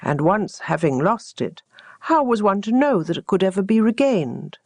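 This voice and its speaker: A woman's voice: medium pitch, very animated, moderate pace, no room sound. A woman voicing a doubtful, proud stream in a storybook tale, questioning and hesitant. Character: doubtful, proud stream afraid of losing itself.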